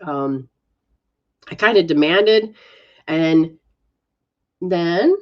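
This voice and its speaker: A woman giving a live spiritual reading and healing session, coaching softly with repeated phrases. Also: lowish voice, expressive, drawn-out words, dull audio. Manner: soft, coaching, repetitive phrasing. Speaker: metaphysical practitioner giving readings.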